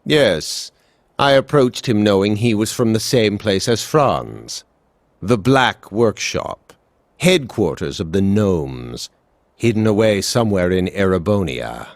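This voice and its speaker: Stern old scientist, low and gruff. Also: male voice, sing-song, slow pace, dry recording, studio quality, exaggerated voice. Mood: grave. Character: stern elderly male scientist, gruff and resolute. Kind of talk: video game cutscene acting.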